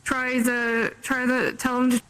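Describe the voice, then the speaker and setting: A woman with a slightly high voice speaks in improvised roleplay. Her delivery is slow and deliberate, with halts and repeated phrases. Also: monotone, fairly bright tone, clean tone. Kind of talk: improvised roleplay dialogue on a livestream.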